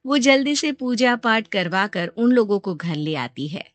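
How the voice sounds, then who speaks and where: A woman's voice: medium pitch, expressive, measured pace, no room sound. A woman reading a scripted moral story as its narrator.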